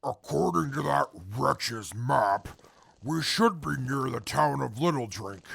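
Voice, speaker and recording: Menacing wasteland fighter, extremely gravelly, harsh forceful edge, slow scornful delivery, audio drama. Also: male voice, mid-range voice, animated, no room sound, heavily acted. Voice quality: raspy, gravelly. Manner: scornful, formal wording. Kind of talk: post-apocalyptic audio drama acting.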